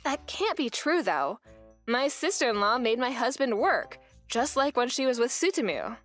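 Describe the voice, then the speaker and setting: A woman's voice: fairly high pitch, very animated, normal speed, a bit bright, faint music behind. Earnest young woman narrating a manga dub. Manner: earnest, weary storytelling. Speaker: narrator and wife.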